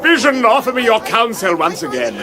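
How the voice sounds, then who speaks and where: An actor playing a vainglorious, imperious would-be hero, archaic and condescending, in a comic fantasy radio drama. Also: male voice, sits high, big pitch swings, brisk, a crowd in the background. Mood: scornful, imperious.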